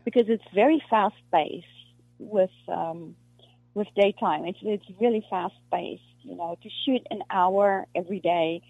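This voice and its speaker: A woman from South Africa, an actress being interviewed, talking in a halting way with many restarts and fillers. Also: medium pitch, vocal fry, husky, no room sound. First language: Afrikaans. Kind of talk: casual interview conversation. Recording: muffled lo-fi dry recording.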